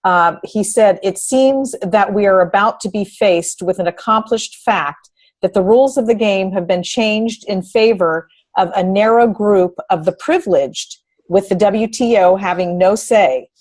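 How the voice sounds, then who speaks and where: A woman co-host on a discussion show speaks in an earnest way. She moves in halting phrases, as if thinking through each point for the listeners. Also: mid-range voice, a little mellow. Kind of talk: unscripted discussion, reading quotes aloud.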